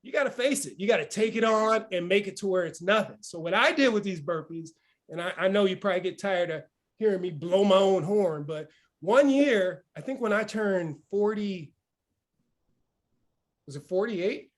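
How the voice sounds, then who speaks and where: High-voiced energetic man giving a pep talk. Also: moderate pace. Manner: energetic, keeps asking "right?". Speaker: host of a morning motivational session. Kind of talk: motivational talk.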